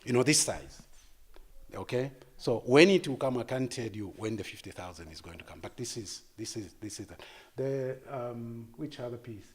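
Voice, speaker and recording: A man of about sixty, giving halting unscripted interview answers and repeating words as he thinks. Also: mid-range voice, expressive, breathy edge. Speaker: artist and gallery founder being interviewed.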